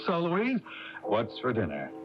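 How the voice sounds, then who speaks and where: Food-minded husband, expressive pitch. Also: male voice, a little slow, music in the background. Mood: hungry, plain. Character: plain, food-minded small-town husband. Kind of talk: 1980s radio drama acting. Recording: muffled radio broadcast tape.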